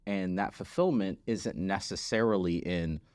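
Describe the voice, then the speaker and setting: Deep voiced guy on a podcast telling his story, emphatic, says right a lot, really dry close sound. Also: normal speed, darkish tone, dry recording. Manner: emphatic, repeats key words and checks in with "right?". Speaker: podcast guest, physical therapist and business founder. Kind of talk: conversational interview storytelling.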